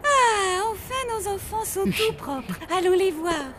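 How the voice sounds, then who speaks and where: A woman voicing a fussy, melodramatic zombie mother in a French children's cartoon, exclamatory and shrill. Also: expressive, a little slow. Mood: gushing, emotional. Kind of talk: French animated children's series dubbing.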